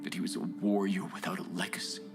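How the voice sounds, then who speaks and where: An actor playing a solemn, fervent cult leader in a game, preaching to his followers in a measured way. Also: deep voice, little pitch movement. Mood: solemn grief. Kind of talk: video game cutscene sermon. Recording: music behind the voice.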